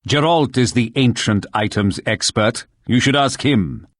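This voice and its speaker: A man's voice: mid-range voice, unhurried, lightly acted. A man voicing a helpful, courteous town advisor in a fantasy video game, speaking formally.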